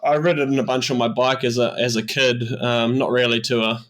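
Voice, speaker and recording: NZ man, 30s, podcast guest: relaxed, halting, even-pitched. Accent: New Zealand. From New Zealand. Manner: easygoing, hesitant, many restarts. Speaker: guest athlete. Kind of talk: casual interview answers.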